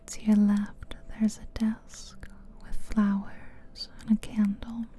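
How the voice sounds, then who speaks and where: Female ASMR guide: breathy, soothing whisper, very flat delivery, extremely unhurried, short phrases with pauses, close-miked. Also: medium pitch. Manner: slow, soothing, pausing between short phrases. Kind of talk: soft-spoken whispered ASMR guided visualization. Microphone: close stereo ASMR microphone. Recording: close ear-to-ear mic, music behind.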